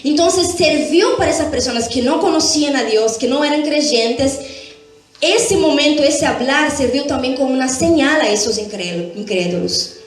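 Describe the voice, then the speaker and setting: High-voiced woman teaching in an echoey room. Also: moderate pace. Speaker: Bible teacher at a church. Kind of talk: church teaching with testimonies. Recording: very echoey room, faint music behind.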